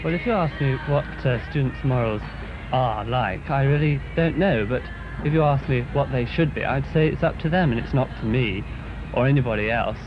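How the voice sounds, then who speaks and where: A man with a medium-pitched voice states his opinion deliberately. The sound is dull like an old newsreel, and music plays behind him. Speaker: interviewee giving opinion.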